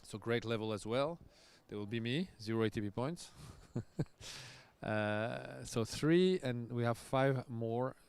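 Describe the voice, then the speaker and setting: A man's voice: medium pitch, a little slow, creaky, darkish tone, smooth. A man, a tennis coach hosting casual live commentary, chatty and loose with easy laughs. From France. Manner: chatty, loose, laughs often, restarts sentences.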